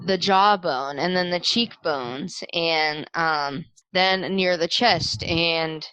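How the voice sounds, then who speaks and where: A young woman runs a tabletop game in a low but bright, forward voice. She describes scenes casually and haltingly, piecing them together as she goes, and the sound is close and dry. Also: smooth, no echo, rough recording. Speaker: game master. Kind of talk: improvised tabletop game narration.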